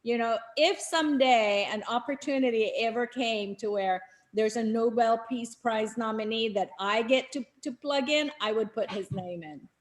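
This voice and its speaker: A woman's voice: a little high, normal speed, few pauses, rough recording. Chatty woman hosting a webinar. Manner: chatty, many fillers and restarts. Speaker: webinar co-host, business professor. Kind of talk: casual webinar introduction.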